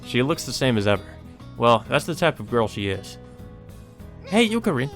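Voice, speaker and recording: A man's voice: mid-range voice, lively intonation, a little fast, fairly bright tone, soft background music. A man hosting a let's play, reading a visual novel aloud and adding casual asides.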